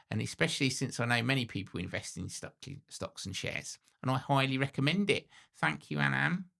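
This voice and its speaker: A man's voice: medium pitch, vocal fry, sharp tone. A man in his forties with a scratchy voice, co-hosting a podcast in a teasing, jokey way. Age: 40s. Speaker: podcast co-host. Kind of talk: casual chat.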